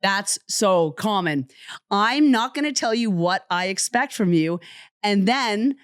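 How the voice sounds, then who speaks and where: Woman, podcast co-host, casual chat, frank, teasing, medium pitch, dry studio sound. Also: animated, takes it slowly, smooth, no echo. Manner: teasing, frank, talks about relationship dynamics.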